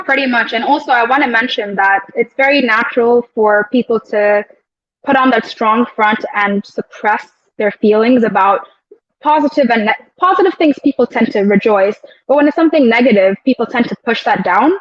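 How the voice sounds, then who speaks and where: Woman guest, high voice, dull dry sound. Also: normal speed, no echo. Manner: reflective, storytelling with quoted asides. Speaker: guest, air traffic control officer. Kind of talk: casual interview chat.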